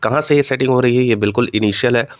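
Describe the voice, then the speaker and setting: A man with a mid-pitched voice presents an informal news and analysis update for students. He explains point by point and peppers his talk with English terms. The sound is dry, with little room echo. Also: fast talker. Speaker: host of an exam news channel.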